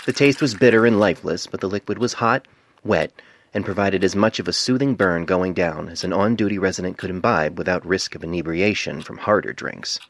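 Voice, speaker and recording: A man's voice: deep voice, a little fast. A man reading scripted fan fiction narration as an amateur storyteller, recorded on a cell phone microphone. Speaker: author narrating own story.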